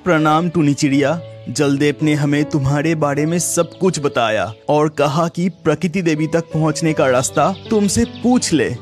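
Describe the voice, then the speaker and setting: A woman playing a young, reassuring water prince in a children's cartoon, calm and polite. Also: deep voice, moderate pace, faint music behind, lightly acted.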